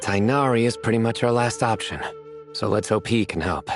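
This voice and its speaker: Guy doing a stern young lawman from a game, lowish deadpan voice, measured, music behind. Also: natural voice. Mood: stoic, grave. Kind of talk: video game voice acting.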